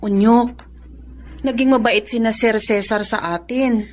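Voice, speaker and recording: A loyal Filipina housemaid played by a radio actress, her voice pitched high. She talks earnestly and anxiously, with a plucky streak. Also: steady tone, measured pace, natural voice. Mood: earnest, resolute. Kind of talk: Filipino radio drama acting.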